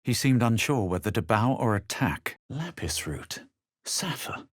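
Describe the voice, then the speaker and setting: Husky, haughty fantasy adventurer. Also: male voice, medium pitch, very animated, no room sound, studio quality. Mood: cool, brooding. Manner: measured, literary, self-assured delivery. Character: haughty young male fantasy adventurer, coolly superior. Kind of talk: video game character narration and barks.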